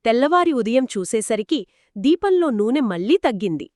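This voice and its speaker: A woman's voice: a little high, very animated, moderate pace, a little mellow, no room sound, studio quality. A woman telling a moral story conversationally to a co-host, explaining each step expressively and affirming as she goes.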